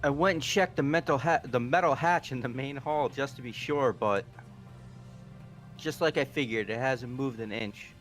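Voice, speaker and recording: A man's voice: mid-range voice, moderate pace, fairly bright tone, soft background music, close to an everyday voice. Man acting a brash young delinquent. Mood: gruff frustration. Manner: aggressive, blunt. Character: brash, foul-mouthed young male delinquent tough guy. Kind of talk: amateur visual novel voice acting.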